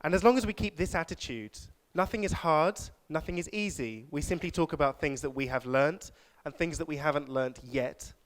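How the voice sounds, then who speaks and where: A man speaking at a conference, hosting audience questions and then giving measured, reflective closing remarks. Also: fairly high pitch. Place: lecture hall.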